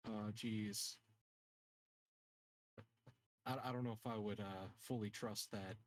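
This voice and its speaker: Male gamer, slightly low voice, casual deadpan joker, recorded dry. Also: slow pace, no room sound, poor audio. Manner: deadpan joking. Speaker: player in a tabletop role-playing group. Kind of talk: casual chat.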